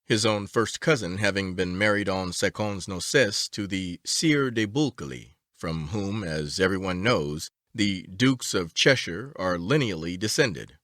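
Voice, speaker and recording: A man reading a story aloud as an audiobook narrator, with a measured, expressive storytelling delivery. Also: deep voice, dry recording, studio quality.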